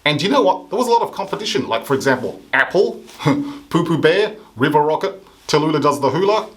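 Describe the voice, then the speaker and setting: A man's voice: fairly high pitch, very animated, a little fast, fairly bright tone. A man from Africa hosting a comedy show, delivering a satirical monologue with sarcastic, punchy mock outrage.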